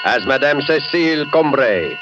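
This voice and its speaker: A man's voice: on the high side, animated, moderate pace. A man formally and dramatically introducing a scripted 1940s radio suspense program. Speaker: program announcer. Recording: dull vintage radio recording. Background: loud brass music.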